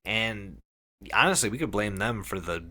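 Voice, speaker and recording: A man's voice: on the low side, animated, normal speed, bright, forceful tone. A man hosting a podcast, summarizing a story casually with swearing, emphatic phrasing and wry asides. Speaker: podcast host summarizing an internet horror story.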